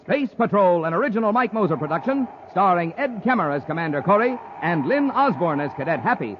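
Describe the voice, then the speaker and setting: A man works as a fifties radio announcer, reading with dramatic salesmanship. His voice is high and swings emphatically. The recording is muffled and old. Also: sing-song, brisk, a little hiss or hum. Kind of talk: 1950s radio announcing, scripted.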